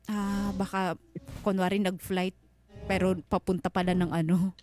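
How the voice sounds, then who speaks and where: A woman co-hosting a casual radio advice chat, reacting with animated exclamations. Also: mid-range voice, measured pace. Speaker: radio co-host. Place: radio studio. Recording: music bed behind voice.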